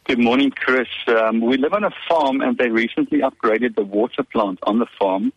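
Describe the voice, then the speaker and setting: A man's voice: mid-range voice, moderate pace, slightly rough, no room sound. A man calling in to a radio show, describing a problem hesitantly over the phone. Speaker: caller. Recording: lo-fi phone line.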